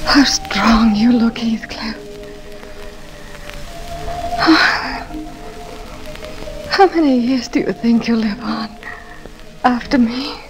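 Slow, breathy dying young wife. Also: female voice, on the high side, music in the background, poor audio. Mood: anguished, faint. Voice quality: breathy, often near whisper. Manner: slow, faint, melodramatic delivery. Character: dying, feverish young wife, tender, yearning, wilful. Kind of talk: 1940s radio drama acting.

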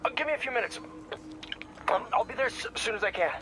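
An actor playing a young, wisecracking and earnest superhero in a video game, with an American accent and a quippy, boyish delivery. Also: male voice, very high-pitched, animated, quick pace, background noise. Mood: sheepish. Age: young adult. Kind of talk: cinematic game dialogue.

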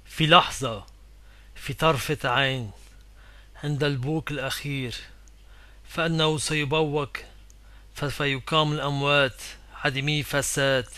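A man reciting scripture slowly and solemnly in formal Arabic. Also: a little high, fairly even intonation, bright, forceful tone, dry recording.

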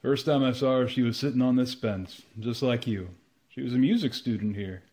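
An elderly male landowner in a radio comedy, played by a man. He speaks plainly and courteously at a middling pitch. His temper is sentimental and easily offended. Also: moderate pace, a little mellow, lightly acted. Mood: wistful, courteous. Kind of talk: old-time radio comedy acting.

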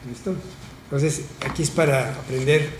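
An older man hosting a talk show around a studio table, chatty and relaxed, with frequent fillers. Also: on the high side, normal speed. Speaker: host. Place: small TV studio, round table. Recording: roomy studio with light noise.

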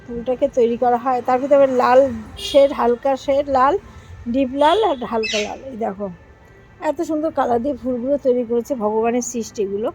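High-pitched woman vlogger. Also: fairly quick, a little mellow, faint music behind, low-quality recording. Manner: effusive, repetitive, showing things to viewers. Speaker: vlogger and host of own page. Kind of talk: casual home garden vlog, chatting to viewers. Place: outdoors in a home garden.

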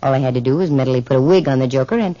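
An actress playing a wisecracking, self-assured private detective in a radio drama, with a dry, breezy manner. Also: female voice, rumbling low, very fast, warm tone. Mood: teasing. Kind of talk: 1950s radio drama acting. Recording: muffled vintage 1950s radio recording.

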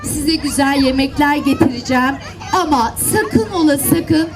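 A woman voicing a caring, cautious storybook mother goat who gives deliberate warnings to her kids. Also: high-pitched, lively intonation. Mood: cautioning. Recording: outdoor event with crowd and background noise.

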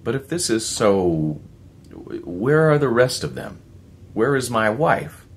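A man's voice: medium pitch, sing-song, slow pace, slightly rough, voice pushed up, exaggerated voice. A man reading as a bitter, desperate man. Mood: bitter, anxious. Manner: bitter, intense.

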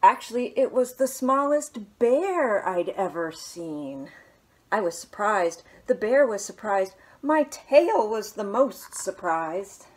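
A woman in her thirties, a children's librarian, reading a picture book aloud at home in a small living room, gently and with soft hushed asides. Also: medium pitch, very animated, slow pace.

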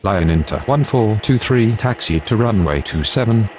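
A low male voice , acted for an air traffic game, calm and procedural. He delivers clipped aviation radio phrases over a noticeable bed of ambient noise. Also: moderate pace, close to an everyday voice.

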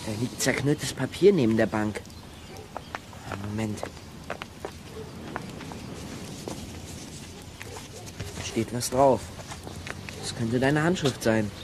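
A man's voice: mid-range voice, a little slow, noisy background, natural voice. An actor playing a level-headed, encouraging boy detective in a children's radio play, prompting and reasoning. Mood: encouraging, urgent.

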